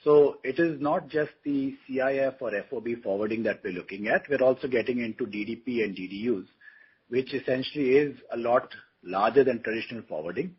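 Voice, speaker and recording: A man speaking as a company executive, giving a business explanation on a conference call in a very rough, husky voice. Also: mid-range voice. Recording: dull, lo-fi conference call audio.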